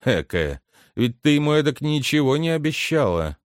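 A man's voice: a little high, big pitch swings, normal speed, no echo, clean studio sound, pitched above the performer's own voice. Man playing a brusque, bossy butler with swooping intonation. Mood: probing. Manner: brusque, commanding, questioning. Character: impatient, bossy male head butler, scheming and condescending. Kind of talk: dramatic literary audiobook character reading.

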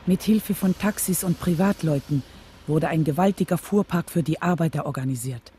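An actress playing a devoted, steadfast preacher's wife who recalls the past in a radio play, composed and reflective. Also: female voice, sits low, moderate pace, mellow, slight background noise, close to an everyday voice. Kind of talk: radio play narration and dialogue.

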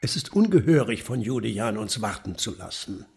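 An actor playing a pompous, self-righteous older German nationalist mayor in a radio play, gravelly and husky, officious and declamatory. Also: male voice, on the low side, very animated, normal speed, darkish tone, soft background music, exaggerated voice. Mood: officious caution.

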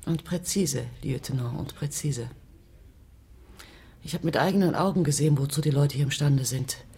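A woman's voice: deep voice, normal speed, warm tone, husky, exaggerated voice. An actress playing an inquisitive, self-assured woman journalist from Paris in a radio crime drama. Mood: cool, precise.

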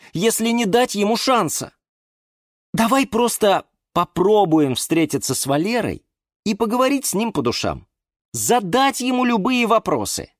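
A man's voice: on the high side, no room sound, clean studio sound, voice pushed up. A man voicing a patient, kind man in a children's story, speaking earnestly and persuasively.

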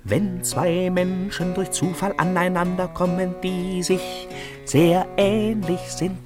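Mocking chorus narrator, slow singsong declaiming, guitar behind, radio play. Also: female voice, fairly low pitch, little pitch movement. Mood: mocking, measured. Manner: measured, half-chanted declamation. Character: chorus narrator, slyly mocking village commentator. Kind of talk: German radio play chorus over music. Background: plucked guitar music.

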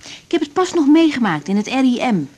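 An actress playing an idealistic young doctor in a radio drama, speaking candidly with reflective hesitations. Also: female voice, high-pitched, very animated, brisk, darkish tone. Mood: earnest. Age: young adult. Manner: hesitant, reflective, self-searching. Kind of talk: naturalistic radio drama dialogue.

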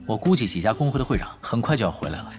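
An actor playing a cool, scheming gamer giving tactical orders in a Chinese audio drama, casual and wry. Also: male voice, medium pitch, sing-song, very fast, loud music behind the voice, lightly acted. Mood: calm, calculating.

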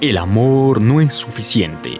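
A man playing a solemn, dramatic narrator of a radio soap, speaking in a grandiose, deliberate manner. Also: medium pitch, very animated. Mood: earnest, solemn. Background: music with guitar.

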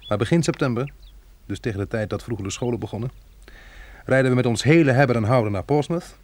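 An actor playing a modest, thoughtful biologist turned farmer in a radio drama, hesitant and reflective, with a husky voice. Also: male voice, mid-range voice, fast talker, darkish tone, lightly acted. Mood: resigned, reflective. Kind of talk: radio play acting.

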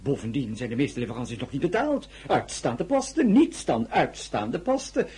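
Radio drama: fawning, nervous merchant's clerk, chatty and hesitant, mid-pitched with big swings in intonation. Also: male voice, very animated, moderate pace, darkish tone, husky. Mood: evasive, defensive. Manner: obsequious, chatty, hesitant, stammering. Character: flustered, deferential male shop clerk at a silk merchant's. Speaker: actor.

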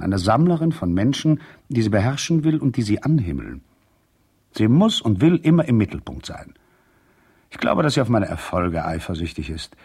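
An actor playing a proud, indignant husband in a radio play, speaking emphatically with a gravelly, husky voice. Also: male voice, on the low side, a little mellow, heavily acted. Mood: scornful. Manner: emphatic, indignant, swinging between outburst and restraint. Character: indignant, proud husband, boat builder and racer. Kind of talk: radio play, dramatic letter monologue.

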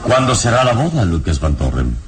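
A very deep-voiced adventurer hero from a radio serial, calm and courteous. His suave, measured lines carry gentle irony and move in lively, swooping inflections. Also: over music. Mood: courteous, probing. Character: calm, courteous, all-knowing adult male adventurer hero. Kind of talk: Mexican radio serial acting.

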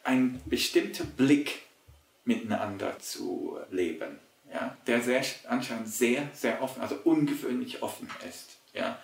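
A man's voice: mid-range voice, vocal fry. A man in an interview, speaking spontaneously and hesitantly, repeating words and adding "ja". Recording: roomy interview recording.

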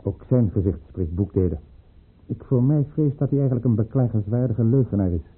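An actor playing a dutiful military adjutant in a radio play, formal, respectful and matter-of-fact. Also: male voice, medium pitch, expressive, fairly quick, husky. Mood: dutiful, frank. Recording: muffled, narrow-band old radio recording.

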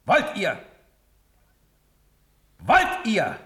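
A stern, magnanimous sovereign in a classical radio drama. He declaims verse very deliberately, with solemn authority, in a fairly high male register. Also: wildly swooping pitch, pushed and bright, exaggerated voice. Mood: stern, challenging. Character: stern, magnanimous ruling prince, measured and commanding.

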